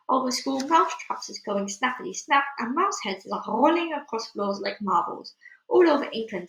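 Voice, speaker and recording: Storybook villain: woman playing an old witch leader, gloating and commanding, high-pitched in an echoey room. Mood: gloating, excited. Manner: shouting, commanding, gloating, broken grammar.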